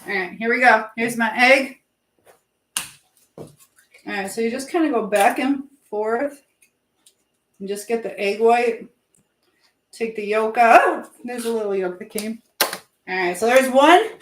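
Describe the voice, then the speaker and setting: A woman's voice: a little high, a little slow, pauses often. A woman hosting a casual live chat from her kitchen, talking informally to viewers while she cooks. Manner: chatty, reads viewer messages aloud and questions them. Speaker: live stream host giving advice. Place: indoors in a kitchen. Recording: echoey room with background noise.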